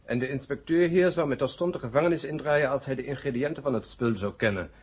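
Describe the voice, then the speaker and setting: An actor playing a helpful, wry inventor friend of the hero in a radio drama, talking casually and coaxingly. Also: male voice, mid-range voice, fast talker, a little mellow, breathy edge, close to an everyday voice. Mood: jaunty. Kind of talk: 1970 Dutch radio drama acting. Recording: muffled 1970 radio play recording.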